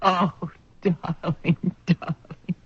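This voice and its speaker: Devoted wife, husky mid-pitched voice, tender earnest delivery, radio drama, dull dry old recording. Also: female voice, little pitch movement, drawn-out words, no room sound, heavily acted. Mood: tender, hopeful.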